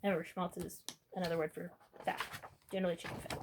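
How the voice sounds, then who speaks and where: A woman's voice: fairly low pitch, flat delivery, quick pace, darkish tone, a little hiss or hum. A woman hosting a storytime, reading a children's book expressively and adding informal asides. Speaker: storytime host reading aloud.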